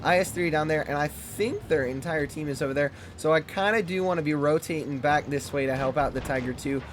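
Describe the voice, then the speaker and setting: A man hosting a gaming channel, reviewing a tank game in loose, fast, chatty commentary. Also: a little high, a little hiss or hum.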